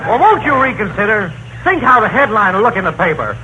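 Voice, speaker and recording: A man's voice: high voice, very animated, a little fast, sharp tone, highs cut off, heavily acted. A man playing an eager, earnest young newspaper reporter who speaks urgently in a 1930s radio comedy. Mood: pleading. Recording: noisy 1930s radio broadcast recording.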